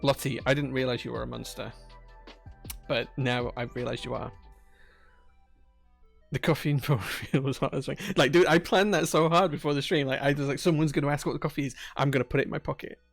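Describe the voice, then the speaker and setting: A man's voice: mid-range voice, fairly even intonation, a little fast, gravelly, dry recording, faint music behind. A man with a Yorkshire accent chatting casually on a live stream, reading out viewer messages with a jokey, rambling manner. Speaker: streamer building keyboards.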